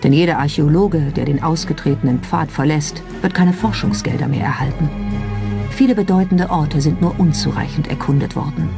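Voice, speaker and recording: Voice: medium pitch, fairly quick, gravelly. Scripted documentary narration, mid-range voice, music behind. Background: background music.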